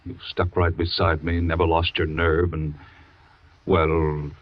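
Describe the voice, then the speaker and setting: A man's voice: low voice, little pitch movement, slow, dry recording. An actor playing a man accused of poisoning his missing wife, sounding flustered and defensive in old-time radio drama acting. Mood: tender. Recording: dull dry old radio recording.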